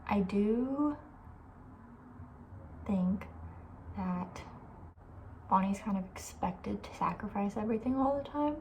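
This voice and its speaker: Casting: female ASMR host, soft and breathy, often near-whispered, chatty and informal, medium pitch, some background noise. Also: animated, slow pace, pauses often, poor audio. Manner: chatty, informal, thinking aloud. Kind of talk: soft-spoken ASMR, casual chat.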